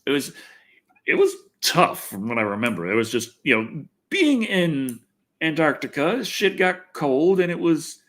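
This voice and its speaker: A man's voice: on the high side, big pitch swings, measured pace, fairly bright tone. An American man from Texas hosting a casual, rambling livestream, thinking aloud, swearing freely and poking fun at himself. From Texas, United States. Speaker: livestream host and video reviewer. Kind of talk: casual rambling livestream chat answering viewers.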